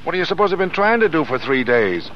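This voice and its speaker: An actor playing a frustrated, street-smart process server with slangy talk, in 1930s radio style. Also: male voice, fairly high pitch, sing-song, quick pace. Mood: exasperated. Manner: slangy, exasperated. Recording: dull, noisy 1930s radio broadcast.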